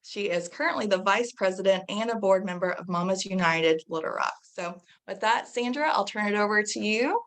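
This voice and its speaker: A woman's voice: mid-range voice, moderate pace, hardly any pauses, fairly bright tone. A woman moderating a webinar on a video call, reading out a speaker's bio.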